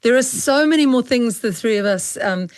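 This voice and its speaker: A woman's voice: fairly high pitch, lively intonation, normal speed, no echo, studio quality. Earnest woman hosting a conversational interview. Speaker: interview host. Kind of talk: conversational online panel interview.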